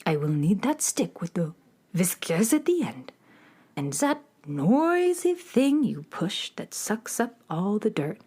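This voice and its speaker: A woman's voice: mid-range voice, very animated, takes it slowly, warm tone, husky, exaggerated voice. A woman playing a melodramatic beatnik cat-girl from the Moon in a children's radio play, with grand, world-weary pronouncements. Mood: weary, resigned.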